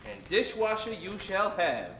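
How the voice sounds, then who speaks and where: A man's voice: high voice, big pitch swings, a little slow, fairly bright tone, reverberant, soft background music, heavily acted, poor audio. A man playing a down-on-his-luck fellow in an old-time radio comedy, hammy and full of period slang. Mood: hopeful. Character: grumbling, down-on-his-luck man trying to buck up.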